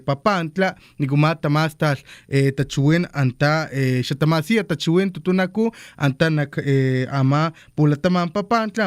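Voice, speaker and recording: A man hosting a live radio program, with Totonac as his first language, greeting listeners in Totonac and Spanish with frequent "eh" fillers. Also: a little high. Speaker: radio host. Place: radio studio.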